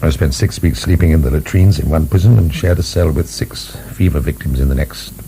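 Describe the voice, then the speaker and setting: A man's voice: a bass voice, a little fast, mellow, a little hiss or hum. A man acting in a radio drama as a proud, defensive middle-aged prisoner of the French Revolution, emphatic and formal. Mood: indignant.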